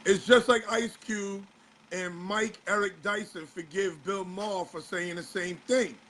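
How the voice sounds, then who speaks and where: High-voiced hoarse male show host. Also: fairly even intonation, measured pace, fairly bright tone. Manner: fires rhetorical questions, exasperated. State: slightly hoarse. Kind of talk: casual talk-show commentary.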